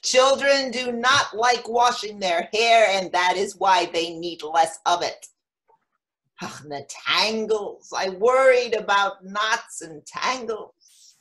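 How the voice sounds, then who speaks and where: Actress as a slow-spoken, frank old mother. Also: mid-range voice, fairly bright tone, poor audio. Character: frank, wistful elderly mother of seven, plainspoken and rueful. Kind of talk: staged reading of a play.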